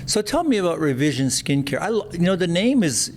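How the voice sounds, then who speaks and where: Gravelly-voiced man hosting enthusiastically. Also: high voice, big pitch swings, a little mellow, a little hiss or hum. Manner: enthusiastic, chatty, halting restarts. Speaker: podcast host, plastic surgeon. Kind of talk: podcast interview.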